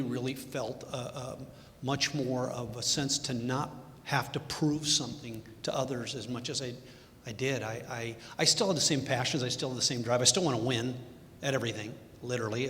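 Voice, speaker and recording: A middle-aged man, a bank executive, talking candidly on a panel, halting with many restarts and fillers. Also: a bit bright. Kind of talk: candid personal reflection on a panel. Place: event hall. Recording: echoey hall, faint rumble.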